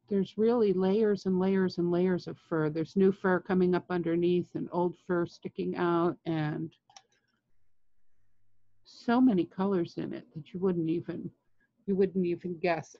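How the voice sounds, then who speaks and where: A woman in her sixties talks through an art technique informally. She speaks slowly, in short halting phrases with long pauses. The sound is dry and slightly dull, like a lo-fi webcam recording. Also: on the low side, dry recording. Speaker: colored pencil artist demonstrating techniques. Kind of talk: informal art tutorial talk. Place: indoors, home studio room with framed art.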